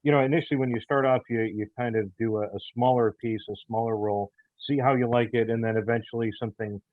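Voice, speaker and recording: A man answering interview questions as a writer, explaining himself in a halting way with many pauses. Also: lowish voice, no echo, phone-quality sound. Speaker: audio theater writer and creator.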